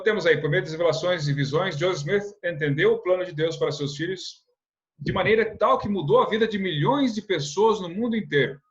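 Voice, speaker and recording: A man's voice: medium pitch, muffled recording. A man from teaching a church lesson, earnest, asking rhetorical questions and often adding a tag question. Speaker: religious instructor.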